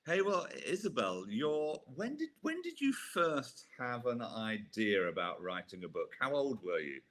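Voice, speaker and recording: This is a male podcast host talking to his guest over a video call. His pitch rises and falls a lot, giving his speech a lively swing. He comes across as chatty and upbeat, with easy laughs. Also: a little slow. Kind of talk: casual interview.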